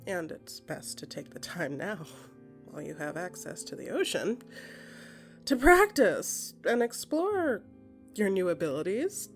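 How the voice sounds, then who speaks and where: A woman narrating scripted second-person fiction in an intimate, dramatic way, with a breathy voice that often drops into whispers and deliberate short phrases. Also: lowish voice, very animated, soft background music. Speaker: podcast creator and narrator.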